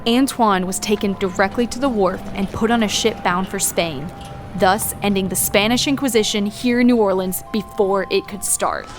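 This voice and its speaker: A woman playing a historian tour guide, narrating scripted history in a measured, dramatic storytelling style. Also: mid-range voice, music in the background, lightly acted. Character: female historian tour guide telling eerie city history.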